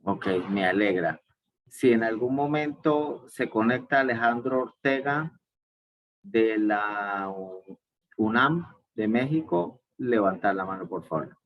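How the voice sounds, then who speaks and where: Low-voiced male moderator. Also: animated, slow pace, no echo, muffled recording. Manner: polite, directing turns. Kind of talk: moderating an online forum.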